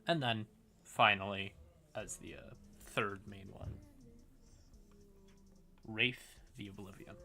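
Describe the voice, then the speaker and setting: A man's voice: on the low side, fairly even intonation, takes it slowly, creaky, long pauses. A man hosting a livestream, explaining things casually in a folksy, rambling way with asides muttered to himself. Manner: folksy, uses y'all and howdy. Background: music quietly in the background.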